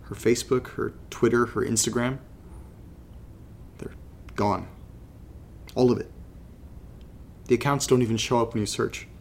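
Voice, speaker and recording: Gravelly, hesitant troubled young man. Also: mid-range voice, steady tone, unhurried, pauses often, darkish tone, slight background noise. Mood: troubled, searching. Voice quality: slightly congested, gravelly. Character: troubled jobless young man recording a confessional diary. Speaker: podcast narrator in horror audio drama. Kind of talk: confessional audio diary, casual halting monologue.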